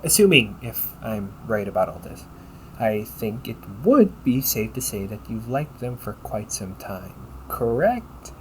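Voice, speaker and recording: A man playing a polite, caring A.I. companion in an audio roleplay, speaking in measured, formal phrases and often checking with a questioning tag. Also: lowish voice, big pitch swings, a little mellow, slight background noise, low-quality recording. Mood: thoughtful. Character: polite, caring male A.I. companion, gently logical and encouraging. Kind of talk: audio roleplay addressed to the listener.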